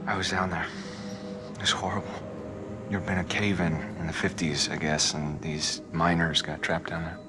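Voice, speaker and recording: A man's voice: sits low, level tone, moderate pace, over loud music, lightly acted. An actor playing a tense young man leading his friends in a video game, speaking tersely and with strain.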